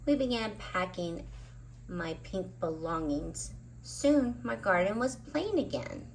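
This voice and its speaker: A woman's voice: medium pitch, animated, takes it slowly, slight background noise. A woman hosting story time, narrating a children's book expressively with dramatic emphasis on words. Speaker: children's story reader and host.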